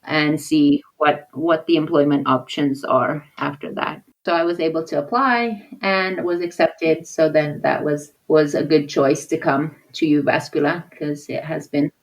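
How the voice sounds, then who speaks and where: A woman's voice: low voice, measured pace, hardly any pauses, low-quality recording. A woman who grew up in Papua New Guinea, a podcast guest speaking in a thoughtful, hesitant way with many restarts. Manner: lively when acting out conversations. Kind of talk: casual interview talk.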